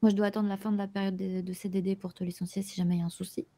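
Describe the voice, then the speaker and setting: A mellow, slightly husky woman's voice acting out an orderly garage boss in improvised online roleplay. She explains things step by step, with hesitant phrasing. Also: medium pitch, level tone, rapid-fire, close to an everyday voice. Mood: calm, explanatory. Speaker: manager recruiting a new employee.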